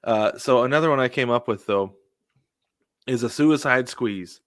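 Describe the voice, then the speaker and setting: A man's voice: mid-range voice, normal speed, a bit bright, no room sound, studio quality. A man co-hosting a sports podcast, telling casual stories and acting out what people said. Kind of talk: casual baseball chat with anecdotes.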